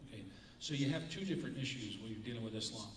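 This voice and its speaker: A man, moderating a candidate forum and posing a question in a measured, deliberate way. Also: mid-range voice, fairly bright tone, slight background noise. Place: community forum room. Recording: echoey room from far off.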